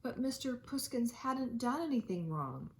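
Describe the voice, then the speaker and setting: A woman teacher reading a children's storybook aloud, with expressive delivery and dramatic emphasis. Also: on the high side, a little slow.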